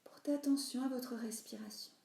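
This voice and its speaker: A woman guides a relaxation practice in a roomy, echoing recording. Her voice sits a little high yet stays mellow. She instructs soothingly, letting phrase endings soften into breath. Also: steady tone, moderate pace, creaky. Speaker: yoga nidra teacher.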